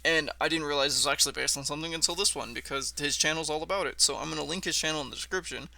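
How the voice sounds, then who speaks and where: A man's voice: a little high, rapid-fire, vocal fry, sharp tone, no echo. A man giving casual, off-the-cuff reaction commentary as a host, musing and questioning aloud as he goes.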